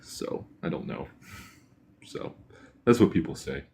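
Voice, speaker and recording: A man in his twenties with a very deep voice, chatting casually in a halting, shy way with many false starts. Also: lively intonation, vocal fry, mellow, a little hiss or hum. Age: 20s. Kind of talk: casual chat.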